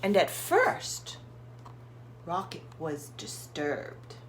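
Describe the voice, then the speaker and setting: A woman reading a storybook aloud to young children, expressive and encouraging, putting questions to her listeners. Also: on the low side, extremely slow, a little hiss or hum.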